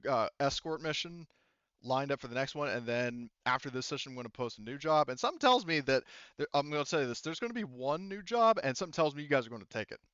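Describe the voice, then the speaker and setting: Smooth-voiced man explaining game rules. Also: medium pitch, animated, fast talker, fairly bright tone, dry recording, lo-fi sound. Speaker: game master.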